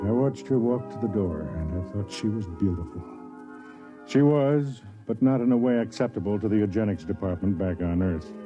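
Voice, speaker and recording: Kindly older officer and narrator played by a man, measured and avuncular, 1950s radio drama, dull broadcast sound with music behind. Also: lowish voice, animated, lightly acted. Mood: reflective.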